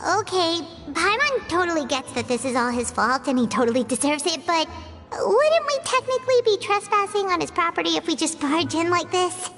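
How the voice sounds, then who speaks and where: A woman voicing a tiny, excitable female companion creature in a video game, who talks about herself in the third person. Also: very high-pitched, moderate pace, exaggerated voice. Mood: wary. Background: game music.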